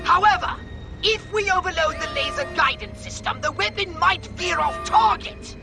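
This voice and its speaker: An actor playing a bossy, excitable alien commander in a video game, shrill and melodramatic. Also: male voice, normal speed, creaky, over music. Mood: exasperated urgency. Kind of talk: video game cutscene acting.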